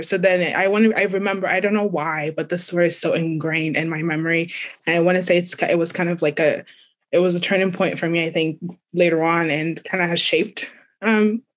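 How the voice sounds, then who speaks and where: Young woman from Nigeria, light Nigerian accent, panelist on a video call, hesitant and searching for words. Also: on the low side. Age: young adult. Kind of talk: personal story on a panel.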